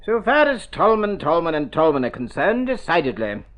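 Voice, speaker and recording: A man's voice: fairly high pitch, very animated, normal speed, fairly bright tone. A man playing a pompous, precise family solicitor in a mid-century radio drama, clipped and formal. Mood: stiffly formal.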